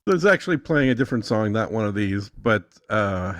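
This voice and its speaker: A man's voice: fairly low pitch, big pitch swings, brisk, fairly bright tone, no room sound, studio quality. A man explaining things casually and with assurance, as a guest in a conversation. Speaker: podcast guest demonstrating software.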